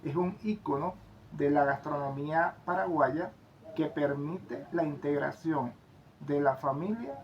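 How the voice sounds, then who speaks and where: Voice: medium pitch, unhurried. Co-host, keen and chatty, lots of fillers, muffled sound with faint hiss. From Venezuela. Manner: enthusiastic, many fillers and restarts. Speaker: co-host of travel channel. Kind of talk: casual conversational commentary.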